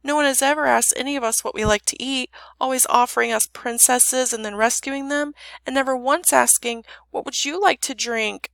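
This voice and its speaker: A woman voicing a huge old male dragon, growling and rumbling, with humble, folksy turns of phrase. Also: normal speed, gravelly, a bit bright, no room sound. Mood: tearful, wounded.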